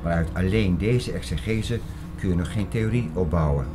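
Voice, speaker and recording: A man's voice: deep voice, moderate pace, lightly acted. A man playing an earnest theorist in an audio drama, lecturing in an emphatic, didactic and insistent way. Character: earnest male theorist expounding an apocalyptic conspiracy. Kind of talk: Dutch audio drama acting, lecturing monologue. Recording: prominent music bed under the voice.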